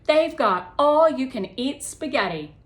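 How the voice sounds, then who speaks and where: A woman's voice: sits high, animated, a little slow, pitched above the performer's own voice. A woman voicing a boisterous, joking schoolboy with a big appetite in a children's storybook. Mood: eager. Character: hungry, boisterous, joking schoolboy on quiz team.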